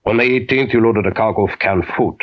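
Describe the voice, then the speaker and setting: A man's voice: on the low side, a little fast, lightly acted. An actor playing a secretive, formal businessman with stiff, precise speech in a 1940s radio drama. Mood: coolly insistent. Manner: stiff, precise, avoids contractions. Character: formal, secretive, smoothly insistent businessman with shady dealings. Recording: dull, lo-fi old radio recording.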